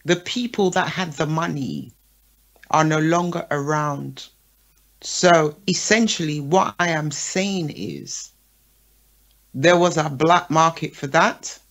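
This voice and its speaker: A woman's voice: low voice, slow. A woman guest on a talk podcast, a show promoter speaking frankly and often checking in with her listener. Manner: frank, lists names, checks in with "yeah?" and "right?". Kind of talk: casual interview talk.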